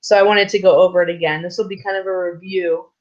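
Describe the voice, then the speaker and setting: A woman teaching a recorded church lesson off the cuff, hoarse from a sore throat, with many fillers and restarts. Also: on the low side, fairly even intonation, fast talker. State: sore throat, losing her voice. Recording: slightly dull, lo-fi recording.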